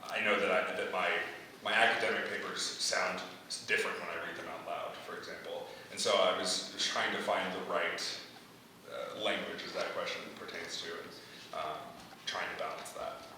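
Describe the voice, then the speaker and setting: Halting young man in a very echoey hall. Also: sits low, steady tone. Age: young adult. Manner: halting, searching for words. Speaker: playwright leading a post-reading feedback discussion. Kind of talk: discussion, answering audience feedback. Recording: echoey hall, distant mic, faint noise.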